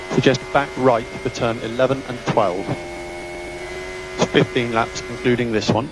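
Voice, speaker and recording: A man working as a race engineer, giving terse, businesslike technical instructions and updates over team radio. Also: mid-range voice. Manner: clipped, businesslike, technical delivery. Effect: team radio transmission. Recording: noisy team radio, engine and vehicle hum.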